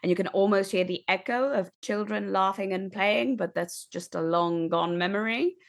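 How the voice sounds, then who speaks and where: A woman playing a tabletop roleplaying game, talking through ideas and scenes in measured, reflective phrases. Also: mid-range voice, creaky. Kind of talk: thoughtful conversational game talk.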